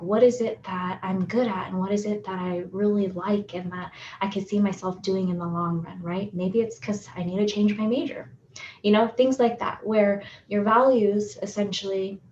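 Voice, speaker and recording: A woman's voice: mid-range voice, a little mellow, a little hiss or hum. Psychologist woman explaining in a roomy room. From United States. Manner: explanatory, often ends points with "right?". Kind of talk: educational talk on relationships. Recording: roomy, slightly dull recording.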